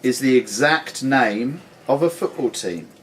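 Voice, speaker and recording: A man reading questions and giving rulings as quizmaster, deliberate and formal. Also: medium pitch, animated, a loud crowd. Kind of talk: reading quiz questions at a live club quiz. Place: sports and social club room. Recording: clearly noisy live club recording.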